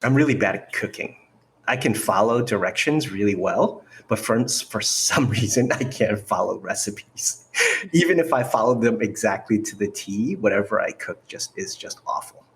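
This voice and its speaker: Low-voiced man, playful guest. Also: expressive, moderate pace. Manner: playful, self-deprecating. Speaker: podcast guest. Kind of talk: casual chat.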